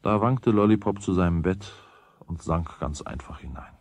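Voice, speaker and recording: Deep, husky male storyteller. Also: normal speed, dry recording. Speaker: narrator. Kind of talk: scripted narration of a radio play, storybook telling.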